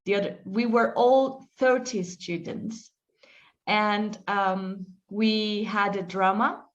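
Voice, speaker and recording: Woman teacher, unhurried and reflective, muffled call audio. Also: medium pitch, steady tone. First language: Turkish. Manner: slow, reflective, with frequent fillers and restarts. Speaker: English teacher being interviewed. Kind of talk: interview answers, storytelling.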